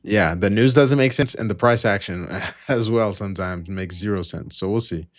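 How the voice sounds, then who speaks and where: Low-voiced man on a trading livestream. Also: normal speed. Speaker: live trading stream co-host. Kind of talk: casual live market commentary.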